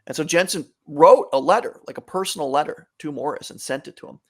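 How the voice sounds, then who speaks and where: A man with a gravelly, fry-tinged voice answers an interviewer's questions at length. He explains in a measured, thoughtful way, circling back and restarting now and then. His pitch sits in an ordinary middle range. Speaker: author, guest.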